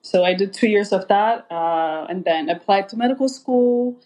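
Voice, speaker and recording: A woman's voice: medium pitch, normal speed, darkish tone, low-quality recording. A woman from Ethiopia, in her thirties, a guest recounting her path in a casual interview, talking with many fillers and restarts. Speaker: guest, anesthesiologist.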